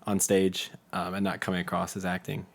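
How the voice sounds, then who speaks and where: Young male interview guest, lower-pitched, casual conversational delivery, self-deprecating humor. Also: monotone, fairly quick, creaky. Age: young adult. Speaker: interview guest, community theatre actor. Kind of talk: casual chat.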